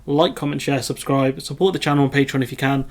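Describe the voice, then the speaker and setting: A man's voice: medium pitch, steady tone, quick pace. A British man hosting his own video channel, reading out a news story and adding rambling, self-correcting remarks full of ums. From United Kingdom. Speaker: video host. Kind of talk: casual commentary reading news.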